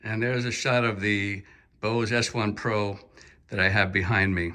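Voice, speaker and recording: Male gear reviewer, lowish pitch with a bright, forward edge, casual step-by-step demo delivery in short phrases. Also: fairly even intonation, a little slow, faint music behind. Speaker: audio gear reviewer and demonstrator. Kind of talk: casual explanatory product demo.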